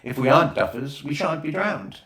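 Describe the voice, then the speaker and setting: A man's voice: on the high side, animated, moderate pace, a bit bright, pitched above the performer's own voice. A man voicing a confident eldest boy in a storybook, decisive and explanatory in manner. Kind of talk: storybook character reading. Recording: roomy, echoing voice recording.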